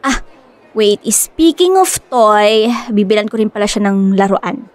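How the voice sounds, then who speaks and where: A woman playing an excitable, stubborn young woman who dotes on her pet dog in a radio drama, gushing and chatty. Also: mid-range voice, a little slow, lightly acted. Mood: gushing, excited. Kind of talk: Filipino radio drama acting.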